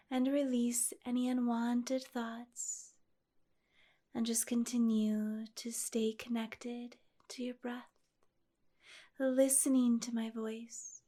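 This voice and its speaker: Female meditation guide, higher-pitched yet mellow, gentle and hushed, soothing storytelling delivery. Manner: slow, gentle, hushed, with breathy phrase endings.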